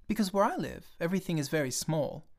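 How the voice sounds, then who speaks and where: A man's voice: on the high side, lively intonation, fast talker, voice pushed up. A man voicing a small, curious boy prince from a tiny planet, talking earnestly and asking wondering questions. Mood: wistful.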